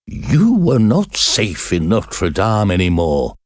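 Slow shaky priest voice from a guy, low and dark, dry. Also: huge pitch swings, full character voice. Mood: pleading menace. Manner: trembling, slow, menacing. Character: grim obsessive priest, man, middle-aged.

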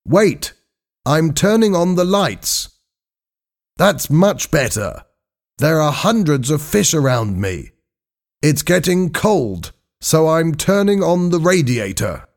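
A man's voice: a little high, sing-song, slow, pitched above the performer's own voice, exaggerated voice. A man voicing an exclamatory, urgent male scientist diver in an audiobook story. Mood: calm, interested. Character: male scientist diver, eager then alarmed and impatient.

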